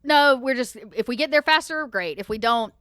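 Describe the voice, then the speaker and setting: A woman's voice: high-pitched, expressive, a little fast, a bit bright, smooth, no echo, clean studio sound. A woman playing a tabletop roleplaying game, improvising in character with emphatic explanations and pointed questions.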